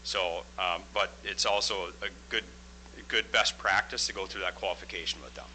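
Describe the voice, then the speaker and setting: A man in his forties, a construction project manager, giving a loud, plain-spoken presentation into a microphone at a school board meeting. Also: low voice, moderate pace, slight background noise, poor audio. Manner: loud, plain-spoken, steady explaining with frequent "uh" fillers. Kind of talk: formal presentation at a public meeting.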